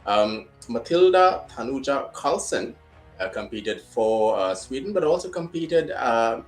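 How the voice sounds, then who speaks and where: A man hosts an interview, speaking in a measured, formal way, with frequent uhs. His voice sits low, and faint music plays behind him. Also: low-quality recording. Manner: measured, many uh fillers. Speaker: talk show host.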